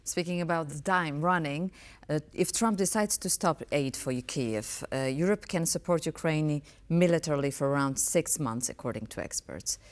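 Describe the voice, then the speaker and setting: Low-voiced woman hosting. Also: lively intonation, normal speed. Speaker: TV current affairs host. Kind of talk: broadcast interview questioning.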